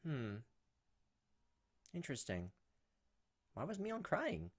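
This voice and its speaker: A man's voice: a little high, huge pitch swings, takes it slowly, husky. A man hosting a casual let's play, reading visual novel text aloud and adding offhand, jokey commentary. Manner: jokey asides and reactions. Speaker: gaming video host. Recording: music behind the voice. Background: visual novel game music.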